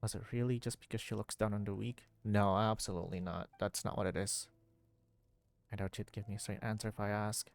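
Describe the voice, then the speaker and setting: A man's voice: fairly low pitch, flat delivery, fairly quick, gravelly, dry recording, faint music behind. A man streaming a video game, giving casual commentary and thinking aloud as he plays.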